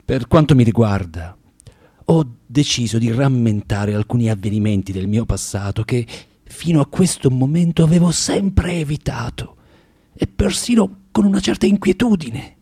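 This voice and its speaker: A male actor playing a bitter, spiteful, tormented former clerk of about forty in a theatrical radio monologue, confessing with halting, emphatic delivery and dramatic pauses. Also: mid-range voice, animated, dark tone, breathy edge, no echo, clean studio sound. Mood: brooding, confessional.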